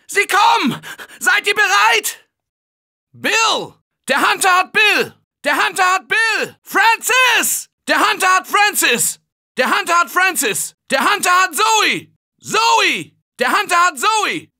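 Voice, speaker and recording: A man's voice: very high voice, big pitch swings, takes it slowly, sharp tone, raised voice, heavily acted. A man voicing a tense male survivor in a German game dub, barking urgent warnings and calls for help. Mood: panicked. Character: male game survivor, tense office worker fighting zombies. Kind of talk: game voice clips.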